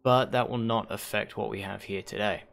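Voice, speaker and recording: A hoarse man hosting a league stream on a headset mic, rambling and correcting himself as he thinks aloud. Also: lowish voice, steady tone, normal speed, fairly bright tone, faint music behind. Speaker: league host and shoutcaster. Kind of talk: casual livestream commentary.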